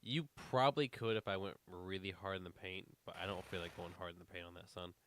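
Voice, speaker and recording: A man's voice: deep voice, fairly even intonation, brisk, a bit bright, slight background noise. A man co-hosting a casual podcast, rambling with lots of fillers, a little congested. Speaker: podcast co-host. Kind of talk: casual chat.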